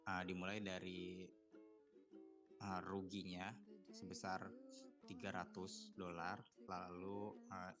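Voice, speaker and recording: A man giving a student presentation in a halting, hesitant way. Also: deep voice, monotone. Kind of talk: presentation explaining accounting slides. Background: guitar music.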